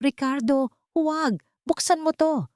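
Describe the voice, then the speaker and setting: A woman's voice: high voice, measured pace, dry recording, studio quality, pitched above the performer's own voice. A woman voicing a frightened, desperate wife who cries out and pleads in a dramatized story. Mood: terrified. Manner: pleading, shouting.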